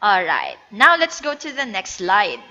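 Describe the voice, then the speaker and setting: A woman's voice: medium pitch, huge pitch swings, unhurried, bright, forceful tone, muffled recording. A woman with a Filipino accent giving a recorded lecture, explaining patiently and mixing English with Tagalog. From Philippines. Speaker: teacher. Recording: echoey reverb.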